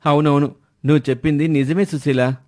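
A man's voice: fairly high pitch, fairly even intonation, a little fast, mellow, close to an everyday voice. A man voicing an eager, ambitious young married son in a moral story, earnest and wheedling. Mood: agreeable. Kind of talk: animated moral story dialogue.

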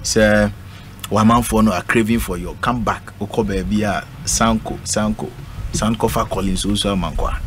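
A man's voice: on the low side, steady tone, normal speed. A middle-aged man with a Ghanaian accent speaking emphatically as a guest in a radio panel discussion, repeating his phrases. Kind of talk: political panel discussion, code-switching. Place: indoor radio studio. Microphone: studio microphone on a stand. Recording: noisy live radio studio stream.

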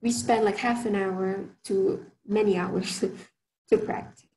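A woman from Malaysia talks through tips in an online workshop, her soft voice carrying a gravelly fry. She chats loosely, with fillers and restarts. Faint noise sits under her computer mic on the call. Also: medium pitch, steady tone, slow, low-quality recording. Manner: chatty, frequent fillers and restarts. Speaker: workshop speaker. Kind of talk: online presentation talk.

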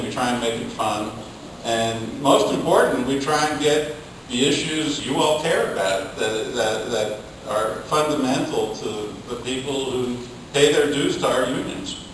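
A middle-aged man giving a measured, halting answer as a panelist at a conference. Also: mid-range voice. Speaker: panelist, labor union communicator. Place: hotel conference ballroom, recorded from the audience. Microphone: distant camera picking up the room sound. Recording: distant, very echoey room recording, faint noise.

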